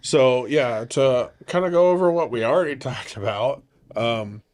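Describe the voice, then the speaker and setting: A man co-hosting a casual podcast with his partner, joking and rambling, his voice slightly raspy and stuffy from blocked sinuses. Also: mid-range voice, a little slow, a little mellow. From rural area. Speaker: podcast co-host. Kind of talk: casual chat.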